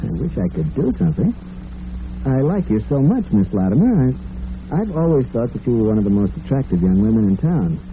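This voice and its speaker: An actor playing a sympathetic bank officer in old-time radio drama, courteous and earnest. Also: male voice, medium pitch, sing-song, fairly quick, natural voice. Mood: earnest sympathy. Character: sympathetic male bank loan officer, politely fond. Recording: noisy, tinny vintage radio recording.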